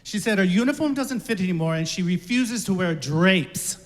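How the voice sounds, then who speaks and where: A man's voice: sits high, expressive, moderate pace. A man playing Brigitte, a rude and blunt old woman who teases, in a live stage skit. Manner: blunt, teasing. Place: theater stage. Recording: far off in a theater hall.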